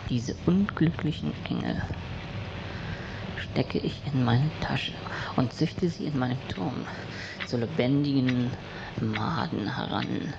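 Man acting a creaky, slow, morbid loner. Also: mid-range voice. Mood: sinister, detached. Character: brooding, morbidly deranged male loner narrating from his tower. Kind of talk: experimental radio-play monologue. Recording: dull, noisy lo-fi radio-play recording.

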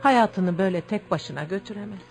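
Woman acting motherly older village wife, medium pitch. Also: brisk, a little mellow, faint music behind, close to an everyday voice. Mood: concerned. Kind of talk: radio theatre acting.

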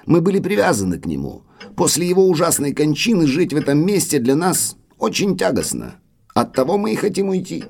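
An actor playing a formal, polite manservant of an old manor in an audio drama. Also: male voice, fairly high pitch, huge pitch swings, brisk, vocal fry, darkish tone, slightly rough, exaggerated voice. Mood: sorrowful, grave. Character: deferential, sorrowful manservant butler of an old manor.

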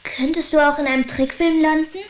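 A woman's voice: high-pitched, steady tone, brisk, reverberant, slight background noise, natural voice, rough recording. A woman playing an earnest, curious and stubborn young boy with a childlike, questioning way of talking in a radio play.

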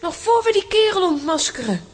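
A woman's voice: very high voice, sing-song, fairly quick. A woman playing a bold, spirited young girl adventurer in a children's audio drama, eager and assertive, with a Flemish accent. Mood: excited, bossy.

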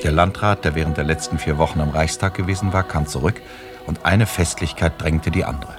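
A male narrator tells a story for a radio play. His voice is deep and his delivery is scripted literary storytelling. Music is clearly heard underneath. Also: fairly even intonation, brisk. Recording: music behind the voice.

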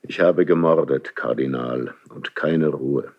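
A deep, gravelly Spanish king, proud, embittered and grieving in a classical verse radio drama. He declaims slowly and haltingly, with a husky rasp, on a slightly dull archival recording. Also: exaggerated voice. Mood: troubled questioning. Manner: slow, halting, brooding, theatrical declamation.

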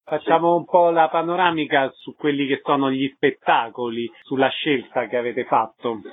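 A man's voice: mid-range voice, fairly even intonation, moderate pace, no echo. A man hosting a radio interview, introducing the guest and putting questions to him. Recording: narrow-band, phone-like radio sound.

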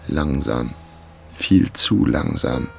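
A man's voice: a bass voice, animated, extremely slow, vocal fry, soft background music, exaggerated voice. An actor playing a wry, down-on-his-luck amateur sleuth who narrates a radio crime drama with dry sarcasm. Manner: dry, sardonic. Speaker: narrator and lead character.

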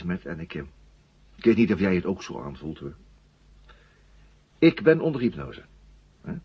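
An actor playing an earnest, uneasy astronaut under hypnosis in a radio play, speaking raspily with halting, repeated words and intensity. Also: male voice, medium pitch, darkish tone, no room sound, full character voice. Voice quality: husky, raspy. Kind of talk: 1970 Dutch radio play acting. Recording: dull, dry old radio recording.

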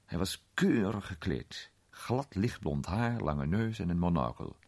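A man playing a worldly film actor in a radio drama, recounting an unsettling memory in a conversational, sometimes hesitant, theatrical way with a husky voice. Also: low-pitched, expressive, unhurried, a little mellow, full character voice. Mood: suspenseful. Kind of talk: radio drama storytelling in character.

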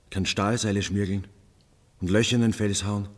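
An actor playing a plain, taciturn mountain labourer in a radio play, terse and matter-of-fact. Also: male voice, fairly low pitch, little pitch movement, moderate pace, lightly acted. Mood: earnest, plain. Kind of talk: German radio play acting.

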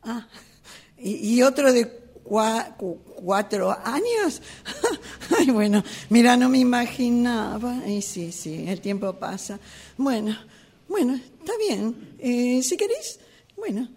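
A woman's voice: on the high side, very animated, slow pace, darkish tone, slightly rough. A woman with a Rioplatense Spanish accent improvising a one-sided dialogue as a flustered, apologetic character, stammering and repeating herself nervously. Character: flustered, apologetic woman meeting an old acquaintance. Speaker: radio drama workshop participant.

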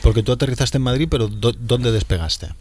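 A man hosting a relaxed interview, asking short questions and joking with his guest. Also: mid-range voice, brisk. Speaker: podcast host. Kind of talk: casual interview chat.

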